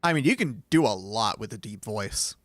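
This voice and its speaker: A man's voice: on the low side, expressive, moderate pace, no room sound. Casual, dry-voiced male streamer. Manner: reads game lines aloud, laughs and swears. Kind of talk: casual chat over a game.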